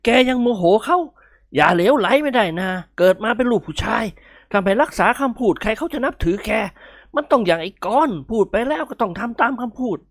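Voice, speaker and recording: A woman voicing a bossy, quick-tempered older nobleman who scolds the young men in a storybook reading. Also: on the high side, expressive, no echo, clean studio sound, pitched above the performer's own voice. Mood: scolding.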